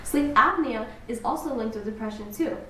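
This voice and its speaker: A woman's voice: fairly high pitch, moderate pace, a bit bright, close to an everyday voice. A young woman playing a grumpy, blunt dwarf psychologist who talks in an exasperated, clinical way in a student skit. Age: young adult. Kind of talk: student skit, scripted diagnostic monologue. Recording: roomy, distant camera mic with hiss.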